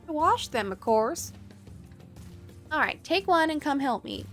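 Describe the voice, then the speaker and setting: A woman voicing a hardworking, modest young farm woman in a visual novel, matter-of-fact and gently instructive. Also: sits high, big pitch swings, unhurried, pitched above the performer's own voice, full character voice. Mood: brisk, teasing. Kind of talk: visual novel character reading. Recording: background music.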